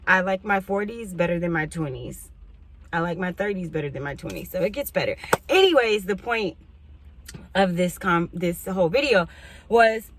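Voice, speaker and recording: A woman in her forties with a lilt, talking straight to her viewers in a loose, chatty way. She wanders off on tangents and clears her throat between thoughts. Her voice sits at an ordinary middle pitch. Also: a bit bright. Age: 40s. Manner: chatty, rambling, frequent asides and throat clearing. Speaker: couponing YouTuber. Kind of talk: casual chat to camera.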